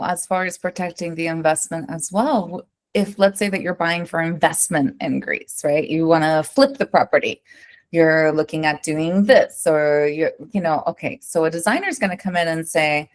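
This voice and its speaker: An American woman working as an interior designer, answering interview questions in a lively, conversational way and often checking in with "right?". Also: lowish voice. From United States. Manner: animated, keeps asking "right?", tells stories.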